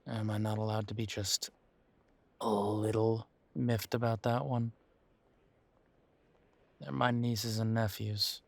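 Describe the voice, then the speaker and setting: Guy acting as a bitter werewolf opening up to his partner, low voice, really slow talking, sardonic audio roleplay. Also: little pitch movement, creaky, no room sound, heavily acted. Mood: sardonic, hurt. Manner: sardonic, hesitant, conversational.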